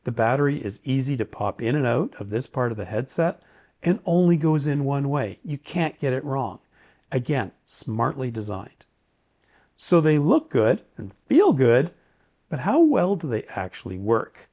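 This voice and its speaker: A man's voice: mid-range voice, big pitch swings, measured pace, darkish tone. A man giving a casual, opinionated, lightly joking product review. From Canada. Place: indoors, home study.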